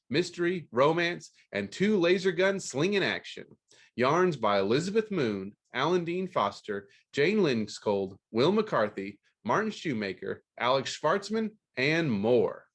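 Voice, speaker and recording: A man's voice: fairly high pitch, big pitch swings, unhurried. A man hosting a podcast about books. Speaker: podcast host. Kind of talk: scripted book news read aloud, then casual interview.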